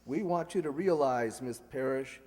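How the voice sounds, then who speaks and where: A man's voice: medium pitch, moderate pace, darkish tone, husky, lightly acted. A man playing an earnest, slightly flustered department store heir in an old-time radio comedy. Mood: earnest, apologetic. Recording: reverberant hall stage recording.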